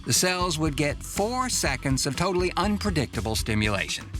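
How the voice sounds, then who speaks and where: A man's voice: a little high, very animated, moderate pace, fairly bright tone. A man with an American accent delivering scripted satirical commentary as a comedy podcast host. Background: music.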